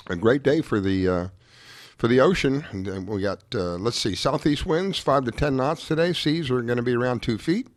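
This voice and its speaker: A hoarse man hosts a casual radio chat, his voice sitting a little low for a man. He rambles through the weather with frequent restarts and fillers. The sound is close and dry, with no room around it. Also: no echo. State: hoarse after a late night. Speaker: radio show host.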